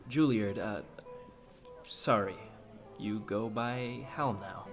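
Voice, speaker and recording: A man's voice: lowish voice, faint music behind. A man playing an awkward, hesitant small-town police detective in an audio drama. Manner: halting, awkward.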